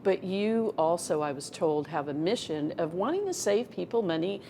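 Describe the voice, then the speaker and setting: A woman hosting an interview show, asking prepared questions in a warm, measured way. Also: lowish voice, very animated, creaky, slight background noise. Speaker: interview host.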